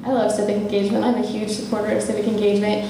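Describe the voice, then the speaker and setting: A woman's voice: mid-range voice, level tone, rapid-fire, warm tone. A young woman running for student government president, answering debate questions in an earnest, eager way. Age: young adult. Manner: earnest, eager, speaks in fluent run-on sentences. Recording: echoey room from far off, faint noise.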